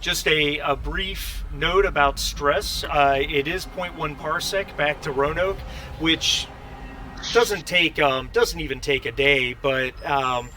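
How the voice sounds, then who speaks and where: A man narrating a tabletop game as game master in a halting, conversational way, often correcting himself as he improvises. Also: fairly high pitch, bright, forceful tone, slight background noise.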